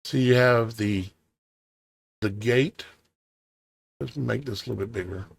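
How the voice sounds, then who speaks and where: A man's voice: medium pitch, creaky, breathy edge, dry recording. A tired man whose voice is starting to go, reading scripture aloud and musing on it with hesitant, questioning asides. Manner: reads old scripture in a measured cadence. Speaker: online preacher.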